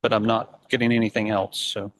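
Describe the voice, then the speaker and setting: A man's voice: lowish voice, flat delivery, brisk. A man joining a webinar from his phone, talking in a hesitant way. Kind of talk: casual call talk. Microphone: phone on a video call.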